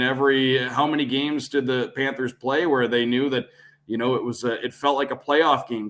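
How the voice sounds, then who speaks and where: A man's voice: medium pitch, fairly bright tone. Fluent man giving sports analysis. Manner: fluent, expansive explanations. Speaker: podcast guest, radio play-by-play broadcaster.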